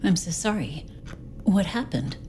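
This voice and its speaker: A woman's voice: mid-range voice, animated, a little slow, dark tone, a little hiss or hum, close to an everyday voice. A woman voicing a sympathetic police sergeant who speaks a little awkwardly.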